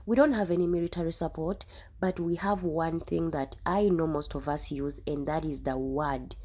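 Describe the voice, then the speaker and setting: A young woman talks earnestly to her viewers in a casual reaction video, often repeating herself. Her voice is low and mellow. She stresses her points with conviction. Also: steady tone, normal speed. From Uganda. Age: young adult. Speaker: YouTube reaction host.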